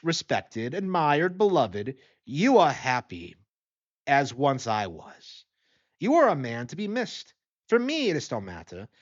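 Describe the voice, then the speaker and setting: A man voicing a courteous, scheming nobleman in a storybook tale, coaxing with polite, deliberate concern. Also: fairly high pitch, dull audio.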